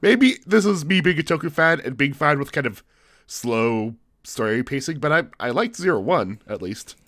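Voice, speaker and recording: A man's voice: fairly high pitch, animated, normal speed, a bit bright, dry recording, studio quality. A man co-hosting a podcast in casual chat, congested from the flu and talking in rambling, self-interrupting, jokey bursts. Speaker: podcast co-host.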